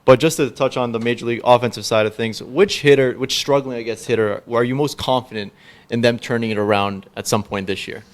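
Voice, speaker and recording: A man's voice: mid-range voice, steady tone, fairly quick. A man hosting a casual sports interview, putting questions and agreeing with his guest. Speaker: podcast host, interviewer.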